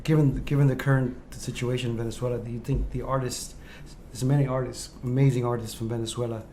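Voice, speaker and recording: A woman's voice: rumbling low, fairly even intonation, fast, mellow, slight background noise. A woman hosting a casual interview show, asking long questions that she rephrases as she goes. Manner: rambling, rephrases questions mid-sentence. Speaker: interview host.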